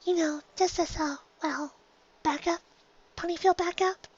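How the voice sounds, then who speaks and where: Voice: extremely high pitch, steady tone, moderate pace, no room sound, dull audio, pitched above the performer's own voice. A woman voicing a shy, apologetic young female pegasus pony in a cartoon fan story, hesitant and meek. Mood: timid, hesitant.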